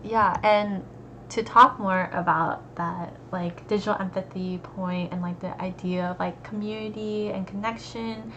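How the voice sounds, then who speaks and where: A young American woman, an art student, talking casually and relaxed, with lots of fillers. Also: mid-range voice. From United States. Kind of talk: casual conversation. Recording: dull lo-fi recording with faint noise.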